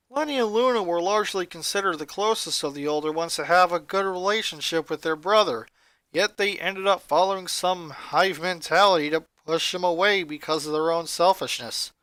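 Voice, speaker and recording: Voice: medium pitch, fairly bright tone, no echo. A narrator reading a scripted story aloud. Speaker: fan fiction reader.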